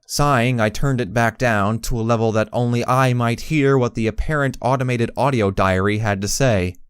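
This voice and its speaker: A man voicing a timid, soft-hearted young pony who narrates an audio story in the first person, earnest and hesitant. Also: mid-range voice, fairly even intonation, normal speed, clear, resonant tone, no echo, studio quality, close to an everyday voice. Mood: sheepish.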